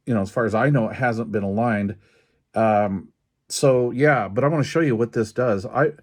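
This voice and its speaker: A man with an average-pitched voice talks through hands-on repair work. He is informal and folksy, thinking aloud as he goes. His delivery is halting and casual, like an unscripted demonstration. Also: darkish tone. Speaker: radio repair technician and video host. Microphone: handheld camera while working.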